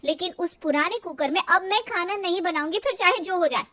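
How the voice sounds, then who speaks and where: Woman as a high-voiced dutiful young daughter-in-law. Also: a little fast, sharp tone. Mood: firm, fed up. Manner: polite, firm, explanatory. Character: dutiful young daughter-in-law, polite but firmly standing her ground.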